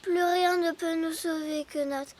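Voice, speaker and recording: A woman voicing a bold, excitable little girl elephant in a children's cartoon, with an exclamatory, dramatic delivery. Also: very high voice, a little slow, noisy background. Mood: dramatic, weary. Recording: loud children's music behind voice.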